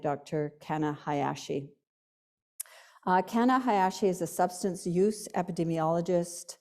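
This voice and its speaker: A woman hosting a lecture event, introducing the guest speaker and reading her credentials in a measured way. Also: fairly low pitch, a little mellow. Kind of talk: formal introduction.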